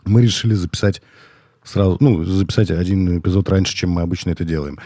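A man's voice: deep voice, fast, warm tone, dry recording, clean studio sound. A man hosting a podcast in casual, colloquial, worked-up talk, congested with a cold. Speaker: podcast host.

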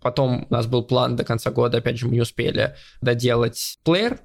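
A young man as a podcast guest, explaining his plans at length in a measured, casual way. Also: medium pitch, fairly even intonation, vocal fry. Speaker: podcast platform editor-in-chief, guest.

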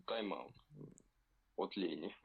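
Gravelly low-voiced young man, hesitant. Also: lively intonation, phone-quality sound. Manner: hesitant, many fillers, slow and searching. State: slightly ill. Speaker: podcast co-host. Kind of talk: casual podcast chat. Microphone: remote recording.

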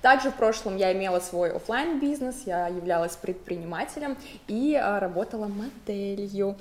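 Relaxed woman guest, echoey room. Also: medium pitch, normal speed. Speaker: talk show guest. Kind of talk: casual self-introduction.

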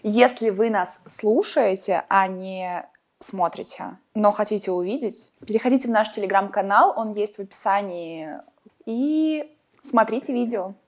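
A woman's voice: medium pitch, measured pace. A woman co-hosting a podcast in casual chat, talkative and explaining things at length. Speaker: podcast co-host.

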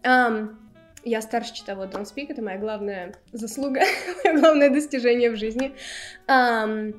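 A woman's voice: fairly high pitch, normal speed, soft background music. A woman who is a native Russian speaker, co-hosting a podcast as an English teacher with casual, self-deprecating humour.

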